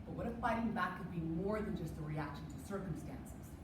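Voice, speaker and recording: A woman's voice: lowish voice, expressive, fairly quick. A young woman performing reader's theater with an impassioned, declamatory reading. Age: young adult. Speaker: college forensics team performer. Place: stage performance space. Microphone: distant room mic. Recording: echoey, distant, noisy live room.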